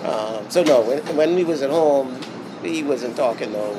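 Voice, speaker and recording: Bahamian male interview guest, reflective, halting with ums, mid pitch, steady background hum. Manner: reflective, many ums and restarts. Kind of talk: casual interview talk.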